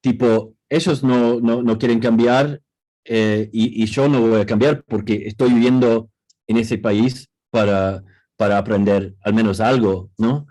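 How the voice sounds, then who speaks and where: A man speaking in on a video call, talking casually at a book presentation and often pausing and restarting. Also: fairly low pitch, steady tone, dark tone, rough recording. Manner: hesitant, many restarts and fillers. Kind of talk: casual panel talk.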